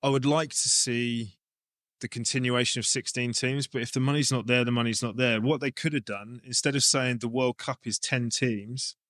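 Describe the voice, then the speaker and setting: English bloke, coach type, casual interview chat, working ideas out loud, dry close sound. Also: male voice, mid-range voice, steady tone, moderate pace, dry recording. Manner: thinking aloud, explaining ideas informally. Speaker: rugby coach and club director, interview guest.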